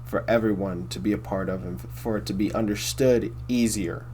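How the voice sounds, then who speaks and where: A man with a baritone voice hosting a casual philosophy monologue, rambling and repeating himself with many fillers. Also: fairly even intonation, fairly quick, darkish tone, a little hiss or hum, poor audio. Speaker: host.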